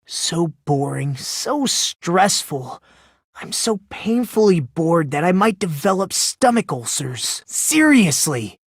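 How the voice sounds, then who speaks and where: An actor playing an eerily polite, smug high school boy in an anime game dub, talking in a condescending, leisurely, theatrical way. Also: sing-song, takes it slowly, slightly rough, no echo, clean studio sound, full character voice. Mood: theatrical boredom. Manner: condescending, leisurely, theatrical, rhetorical tag questions. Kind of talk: anime video game dub acting, deductive monologue.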